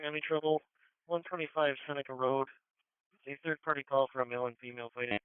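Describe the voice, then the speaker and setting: A man's voice: mid-range voice, fairly even intonation, fast, smooth, narrow, tinny audio. A man giving terse police dispatch traffic over a two-way radio on a scanner feed.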